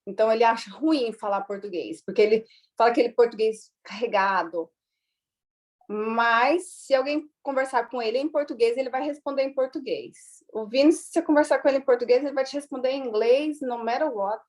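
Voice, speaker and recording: A woman's voice: on the high side, brisk, darkish tone. A woman from Brazil, a guest in an interview, telling family stories in a chatty way full of fillers and acted-out dialogue. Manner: chatty, many fillers, acts out quoted dialogue. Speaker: guest, immigrant mother. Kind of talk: casual interview storytelling.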